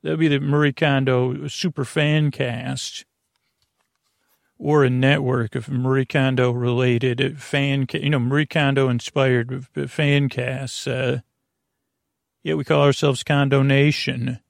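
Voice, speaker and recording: Male sleep-podcast host, gravelly fry, dry studio sound, halting and meandering bedtime rambler who stumbles on words. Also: mid-range voice, level tone, no echo.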